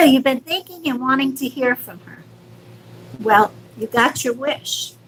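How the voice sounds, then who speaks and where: A woman's voice: sits high, a little slow, a bit bright, a little hiss or hum, low-quality recording. A woman hosting a live stream as a psychic medium, speaking casually and affectionately to viewers with reassurance and endearments.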